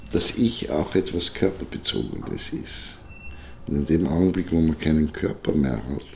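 A man playing a reflective figure who muses slowly and haltingly on life and death in an audio drama. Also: a bass voice, vocal fry, warm tone, slight background noise, exaggerated voice. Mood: pensive, subdued. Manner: pensive, halting, philosophical. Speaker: speaker in a fictional collage of personal memories. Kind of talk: slow reflective spoken monologue.

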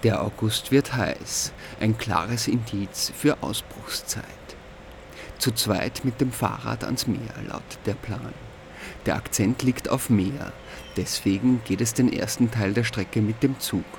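A man from Austria reads a scripted first-person audio diary as narrator, in a measured, dryly wry way. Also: lowish voice, gravelly, background noise.

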